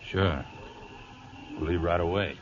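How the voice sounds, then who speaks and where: An actor playing a laconic, quietly dangerous gunhand cowboy in a 1940s radio western. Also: male voice, sits low, unhurried. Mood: cool, dutiful. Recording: dull, faintly noisy old radio broadcast.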